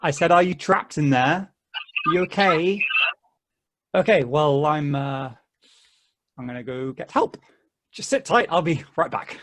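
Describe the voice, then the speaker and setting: A man reading the part of an eager, polite hiker in a stage play table read, with a halting, chuckly, friendly way of talking. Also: a little high, lively intonation, a bit bright. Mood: concerned, calling out. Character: earnest, chatty, friendly male hiker, eager to befriend everyone.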